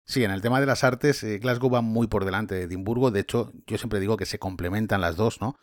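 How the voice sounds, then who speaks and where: Low-voiced man hosting a dry-sounding chat. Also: brisk, no echo. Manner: conversational, asks questions, tag questions. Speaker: podcast host and tour guide. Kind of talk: casual conversation.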